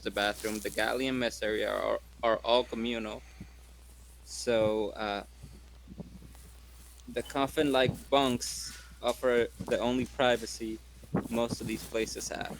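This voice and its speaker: A man's voice: mid-range voice, a bit bright, slight background noise, low-quality recording. A man talking casually as a player in a tabletop game. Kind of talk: casual chat, reading rules aloud.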